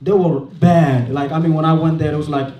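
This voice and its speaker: A man's voice: fairly high pitch, steady tone, a little fast, lo-fi sound. A man giving a casual talk at a showcase event, speaking over his slides, chatty and laughing often. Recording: echoey room from far off.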